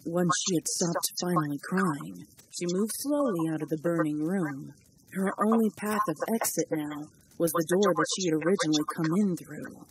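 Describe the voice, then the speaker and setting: Steady fanfic audiobook narrator. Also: mid-range voice, moderate pace, slight background noise, poor audio.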